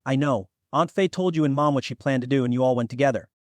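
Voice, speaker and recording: A man's voice: medium pitch, quick pace, dry recording, clean studio sound, close to an everyday voice. A man voicing an impatient, skeptical young demigod nephew in a fantasy audio drama, with a blunt, exasperated manner. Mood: puzzled.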